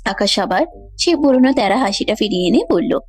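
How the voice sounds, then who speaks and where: A woman's voice: a little high, expressive, brisk, slight background noise. A woman giving scripted narration of a romantic story.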